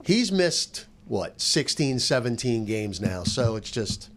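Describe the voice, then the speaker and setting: A man's voice: mid-range voice, big pitch swings, slow. A man who works as a play-by-play announcer, giving casual, emphatic sports commentary in a roundtable chat.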